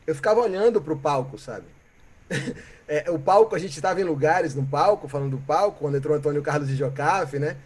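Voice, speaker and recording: A Brazilian man from Bahia, a musician guest, telling stories in a reflective, animated way and often ending with "né?" or "sabe?". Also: fairly high pitch, brisk. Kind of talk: reflective conversational storytelling.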